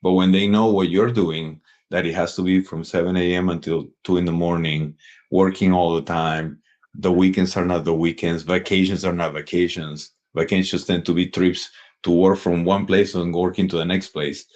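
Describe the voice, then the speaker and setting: A middle-aged man with a Peruvian accent speaks in a very low voice, thoughtful and earnest as he shares advice. The audio is dull and lo-fi, as if recorded over a call. He talks in a relaxed interview style. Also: moderate pace. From Peru. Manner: earnest, reflective, advice-giving. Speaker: sales executive guest. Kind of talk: casual interview chat.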